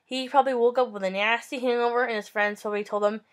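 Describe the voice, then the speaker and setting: A woman's voice: fairly high pitch, quick pace. A young woman telling a story to her webcam in a casual, upbeat vlog, gesturing as she talks from a small bedroom. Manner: chatty, upbeat, animated hand gestures. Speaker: vlogger. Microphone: webcam at close range.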